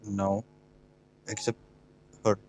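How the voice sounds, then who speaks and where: A man's voice: lowish voice, flat delivery, slow pace, a little mellow, rough recording. A man with an Indonesian accent giving casual reactions as he streams a video game.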